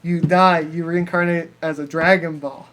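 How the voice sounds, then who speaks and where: A man's voice: on the high side, level tone, moderate pace, a bit bright. A man co-hosting a podcast, riffing casually and profanely in rambling sentences, often giggling as he talks. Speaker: podcast co-host. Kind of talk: casual joking chat, riffing on ideas.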